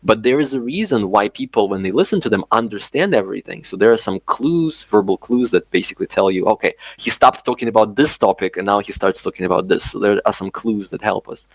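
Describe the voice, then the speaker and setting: Low-voiced Russian man, chatty and hesitant. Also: expressive, fast talker, hardly any pauses, no room sound, poor audio. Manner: hesitant, many fillers and restarts. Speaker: guest, linguistics professor. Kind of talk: casual conversation.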